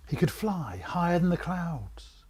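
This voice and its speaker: A man reading a children's storybook aloud in a theatrical, suspenseful way, with a husky voice that drops into breathy whispers. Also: on the high side, big pitch swings, measured pace, a little mellow. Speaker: author reading own book.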